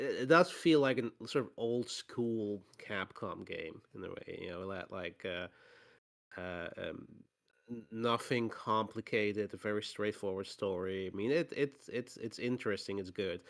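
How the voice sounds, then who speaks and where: A man co-hosting a gaming podcast, hoarse from a cold, talking casually with many fillers and restarts. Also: fairly low pitch, measured pace. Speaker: podcast co-host. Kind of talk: casual chat.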